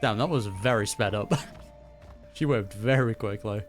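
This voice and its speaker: A man's voice: fairly low pitch, normal speed, soft background music. A young man giving casual, slangy reaction commentary on a video game as he plays. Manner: laid-back, slangy. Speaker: gaming reactor.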